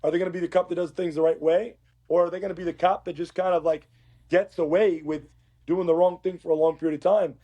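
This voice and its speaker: A man with a fairly high, raspy voice, hoarse from work, speaks as a police academy instructor on a podcast. He tells stories in a casual, conversational way. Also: steady tone, quick pace, warm tone. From Uruguay.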